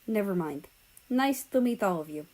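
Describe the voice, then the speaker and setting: A woman's voice: mid-range voice, animated, moderate pace, a little mellow, close to an everyday voice. A woman voicing a guarded, distrustful young man with a blunt, hesitant manner in a story reading. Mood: cold, suspicious.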